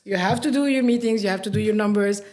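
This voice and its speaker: A woman from Lebanon, a podcast guest telling animated stories and laughing easily. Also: mid-range voice, fast talker. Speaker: guest, radio sales professional. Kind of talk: podcast conversation.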